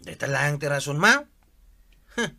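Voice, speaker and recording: Annoyed Ilocano scolder, very forceful sharp voice, a touch high, radio comedy. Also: male voice, expressive, measured pace, creaky, exaggerated voice. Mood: scolding. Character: annoyed man scolding a loiterer at terminal.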